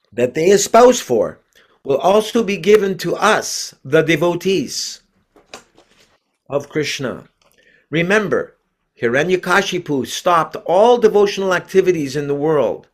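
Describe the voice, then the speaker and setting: An older man, a devotee preacher and host, addressing a religious gathering in a deliberate, earnest speaking style. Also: fairly high pitch. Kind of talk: read-out sermon, then informal introduction.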